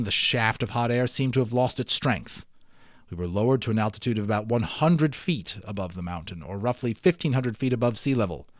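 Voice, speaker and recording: A man giving an expressive, enthusiastic dramatic reading of a children's novel, drawing out key words and slipping into casual asides. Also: lowish voice, no room sound, studio quality. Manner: expressive, enthusiastic storyteller, emphasizes and draws out words. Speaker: amateur audiobook reader.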